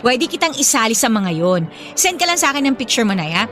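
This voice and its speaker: A woman's voice: fairly high pitch, very animated, fairly quick, fairly bright tone. A woman with a Filipino accent playing a pushy, teasing young adult daughter in a radio drama. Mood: insistent.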